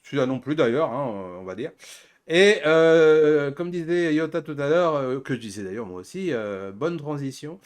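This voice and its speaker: A man's voice: a little high, lively intonation, normal speed, clear, resonant tone. A middle-aged man hosting a casual group chat, rambling and often backtracking on his words. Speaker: host of an anime talk show.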